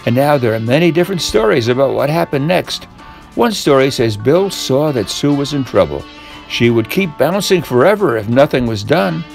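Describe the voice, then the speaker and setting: Older man storytelling, lively swooping pitch, music behind. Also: vocal fry. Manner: expressive, deliberate storytelling with drawn-out key words. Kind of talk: scripted tall-tale narration, bedtime storytelling. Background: guitar music.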